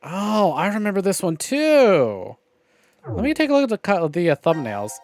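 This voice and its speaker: A man chats casually as he streams a story game. His voice sits a little high and is recorded very dry and close. He is opinionated, wry and freely profane. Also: sing-song, moderate pace, no echo. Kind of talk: casual livestream commentary on a visual novel game.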